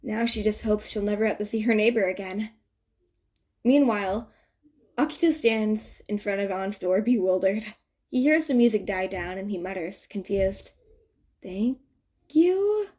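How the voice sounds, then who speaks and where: A woman narrating a written story in an expressive, informal and wry way. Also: medium pitch, moderate pace. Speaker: fan fiction narrator.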